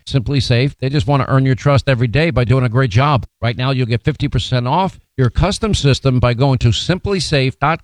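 A man's voice: mid-range voice, no echo. A man reading a home-security ad in a casual, conversational way. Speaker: ad reader. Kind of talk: conversational host-read ad.

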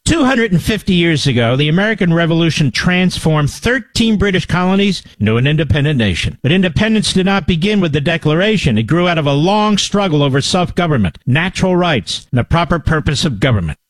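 A man's voice: on the high side, big pitch swings, fairly quick, hardly any pauses, dry recording, poor audio. A man reading a scripted advertisement in a polished, enthusiastic way. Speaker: ad announcer. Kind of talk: scripted ad read.

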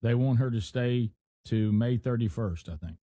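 A male talk radio host reads a news story aloud and stops often to comment on it. His voice is warm and mellow. The sound is dry and close. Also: medium pitch, moderate pace, no echo. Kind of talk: casual talk radio banter.

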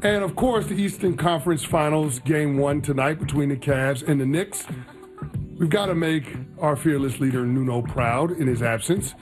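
A man's voice: fairly high pitch, normal speed, soft background music. A man co-hosting a casual sports talk show, emphatic as he gives a betting pick.

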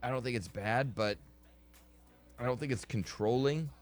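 A man's voice: medium pitch, moderate pace, soft background music. A man hosting a radio talk show, wry and skeptical, reading out listener messages. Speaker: radio host. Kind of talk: casual radio show banter.

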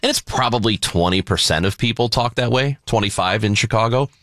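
A man's voice: fairly low pitch, brisk, a bit bright, dry recording. A man co-hosting a talk radio show, chatting casually into a close studio microphone. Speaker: radio co-host. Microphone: close studio broadcast microphone.

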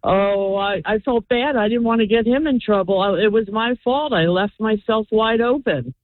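A woman's voice: a little high, moderate pace, no room sound. A woman calling in to a radio show, telling a story in an earnest, self-deprecating way over a phone line. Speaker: caller.

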